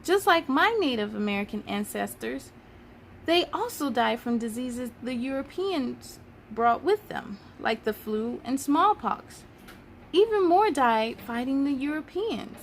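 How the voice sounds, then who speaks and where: An upbeat, patient schoolteacher from a children's storybook, acted by a woman, with a high voice that swings widely. She instructs with encouraging emphasis, over some background noise. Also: expressive, a little slow. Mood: explaining. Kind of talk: children's storybook read-aloud.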